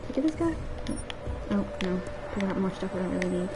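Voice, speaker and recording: A woman's voice: mid-range voice, lively intonation, fast talker, music in the background. Chatty woman gamer with slightly stuffy nose. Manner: chatty, rambling, self-deprecating. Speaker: gaming video host. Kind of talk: casual let's play commentary.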